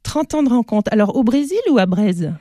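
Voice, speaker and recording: A woman hosting a radio interview, chatty and quick to react. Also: on the high side, lively intonation, dark tone, no room sound, studio quality. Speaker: radio host. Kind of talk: radio interview and scripted intro.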